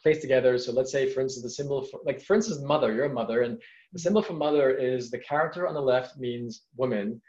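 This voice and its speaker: A man's voice: medium pitch, fast talker, darkish tone. New York-born male podcast host, eager and long-winded, on a dull lo-fi recording. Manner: enthusiastic, rambling questions. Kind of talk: casual podcast interview. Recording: dull lo-fi sound.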